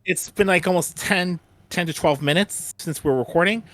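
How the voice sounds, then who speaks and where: A man hosting a casual fan chat in a halting way, often repeating phrases as he goes. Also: sits high, a bit bright, dry recording. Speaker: host of a fan discussion.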